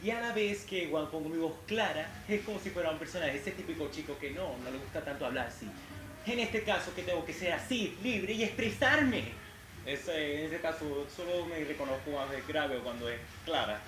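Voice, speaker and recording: Earnest young man, rambling, slightly high-pitched, echoey noisy hall. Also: animated, fairly quick. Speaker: fan asking questions. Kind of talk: earnest convention Q&A. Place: convention hall. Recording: echoey hall with background noise.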